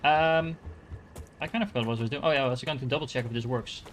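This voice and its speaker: A man's voice: medium pitch, quick pace, a bit bright, a little hiss or hum. A man from the Netherlands with a Dutch accent, casually commenting on a game he is playing and thinking aloud with many fillers and restarts. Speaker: gaming streamer.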